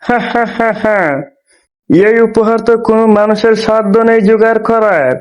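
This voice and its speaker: A man's voice: high voice, little pitch movement, normal speed, muffled recording, natural voice. A man playing a sly, fawning royal minister who schemes in a cartoon fable. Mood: sly, gloating. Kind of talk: moral story cartoon dialogue.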